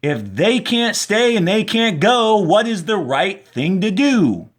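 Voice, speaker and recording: A practical townswoman from a storybook, put on by a male reader. She is decisive and earnest, setting out her points with emphasis. The voice sits a little high and moves slowly, with lively rises. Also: heavily acted. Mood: questioning.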